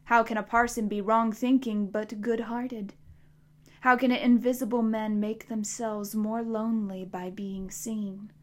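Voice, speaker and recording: A woman voicing a huge, ancient tree monster that speaks calmly and slowly. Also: fairly high pitch, lightly acted. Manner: slow, measured, soothing.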